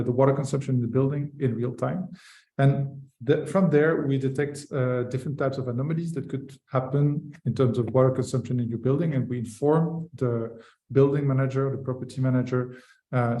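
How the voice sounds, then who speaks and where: A man's voice: medium pitch, warm tone. Hesitant Belgian man in an echoey room. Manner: hesitant, many fillers and restarts. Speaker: company founder guest. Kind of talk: casual webinar interview.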